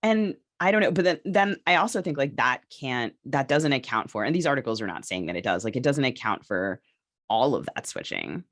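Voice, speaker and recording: A woman co-hosting a podcast discussion, speaking casually and haltingly as she thinks her points through aloud. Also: extremely low pitch, expressive. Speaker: podcast co-host.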